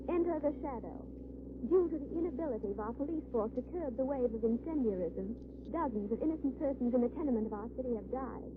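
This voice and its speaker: A woman's voice: high-pitched, animated, quick pace. An actress playing a poised, sympathetic woman in a 1930s radio drama. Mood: sympathetic, earnest. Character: poised, sympathetic female companion of a crime fighter. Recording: tinny, noisy old radio recording, crowd behind.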